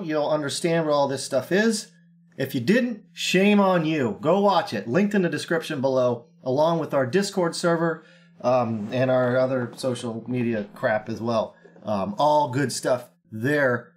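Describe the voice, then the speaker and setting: Brash young man, slightly scratchy voice. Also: a little high. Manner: brash and joking, with many filler ums and uhs. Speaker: crypto trading analyst. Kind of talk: casual screen-share market analysis.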